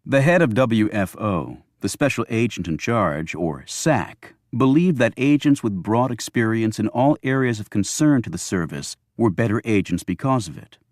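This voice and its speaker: A man's voice: fairly low pitch, big pitch swings, moderate pace, few pauses, no echo, studio quality. A man reading a thriller audiobook as scripted narration.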